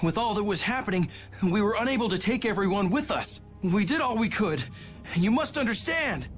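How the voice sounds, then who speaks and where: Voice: medium pitch, expressive, normal speed, soft background music, lightly acted. A performer playing a haughty, self-serving aristocrat in a video game, speaking formally with an affronted air. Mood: defensive. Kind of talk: voice acting in an English video game cutscene.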